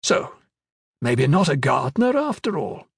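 A man voicing an imperious, sharp-tongued old woman magister who scolds everyone around her. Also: big pitch swings, a little slow, gravelly, breathy edge, dry recording, clean studio sound, full character voice. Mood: commanding. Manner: scolding, shrill.

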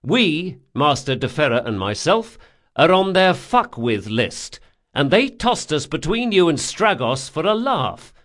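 A man narrating an audiobook, voicing a brash, sardonic thief who speaks forcefully, with menace and exasperation. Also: fairly high pitch, big pitch swings, measured pace, voice pushed up. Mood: angry, threatening. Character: brash, sardonic male thief, threatening, foul-mouthed.

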